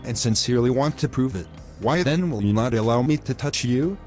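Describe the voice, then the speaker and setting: A male actor plays a selfish young boyfriend in a moral-story audio drama. He argues in formal, wordy lines and keeps pushing his point. His voice sits at an average male pitch, with soft music underneath. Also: expressive, fairly quick, natural voice. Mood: insistent, aggrieved. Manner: formal wordy phrasing, insistent. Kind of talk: scripted moral-story dialogue.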